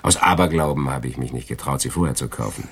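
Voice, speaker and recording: An actor playing a loyal, philosophizing crook in a German radio crime drama, with a husky, expansive way of talking. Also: male voice, very deep voice, quick pace, full character voice. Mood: reflective. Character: loyal, philosophizing male crook, the caring accomplice.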